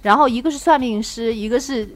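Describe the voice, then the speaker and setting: A woman's voice: fairly high pitch, quick pace. A woman hosting a casual chat, talking in an effusive, eager way. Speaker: podcast host.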